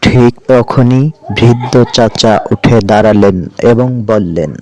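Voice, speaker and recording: A man's voice: mid-range voice, a little slow, darkish tone. A man giving scripted narration for a cartoon story. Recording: music behind the voice.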